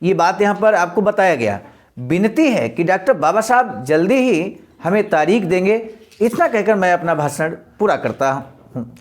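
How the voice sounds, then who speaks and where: A presenter reads a script formally, pausing to explain it and address listeners. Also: medium pitch, animated. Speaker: presenter of a daily speech-reading programme. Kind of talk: scripted reading with spoken commentary.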